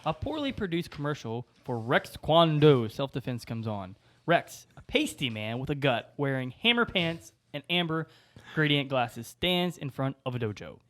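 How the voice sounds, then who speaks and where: A man's voice: mid-range voice, big pitch swings, normal speed, a bit bright. A man narrating the stage directions at a comedy table read.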